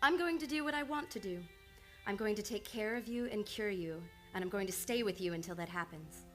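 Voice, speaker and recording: A woman acting in an old-time radio drama as a measured, determined psychiatrist in love. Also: medium pitch, lightly acted. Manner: measured, controlled, earnest. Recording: live radio play with music behind.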